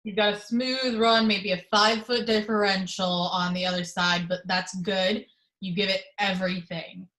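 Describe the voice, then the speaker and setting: An earnest, level-headed young man in a horror film, read by a woman in her own mid-pitched voice. The delivery is that of an amateur table read, focused on solving the problem. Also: fairly even intonation, moderate pace, a bit bright, reverberant, natural voice, low-quality recording.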